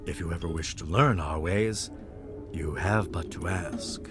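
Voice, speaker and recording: Low-voiced, stately cult leader. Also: male voice, over loud music. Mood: courteous, solemn. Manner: formal, measured, stately. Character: courteous, solemn male leader of a secretive cult. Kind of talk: video game dialogue.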